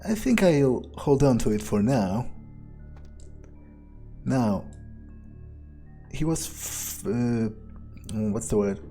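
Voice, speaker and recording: A man's voice: mid-range voice, expressive, takes it slowly, long pauses, warm tone, soft background music. A man roleplaying his way through a video game, thinking aloud in character with a musing, sardonic, sometimes profane manner.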